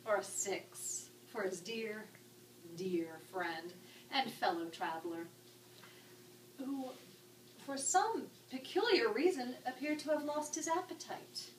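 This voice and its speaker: A woman narrating a written story in an expressive, arch, dramatic style. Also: on the high side, takes it slowly. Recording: echoey room, distant mic, background noise.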